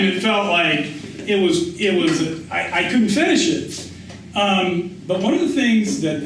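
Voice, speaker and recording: Middle aged american guy, writer doing q&a, kinda high voice, very roomy echo, chatty and repeats himself. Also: fairly bright tone. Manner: conversational, anecdotal, often restarts and repeats phrases for emphasis. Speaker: author and writing teacher speaking at a reading. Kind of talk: informal spoken Q&A after a reading. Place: indoor reverberant event room. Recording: echoey room, distant mic, faint noise.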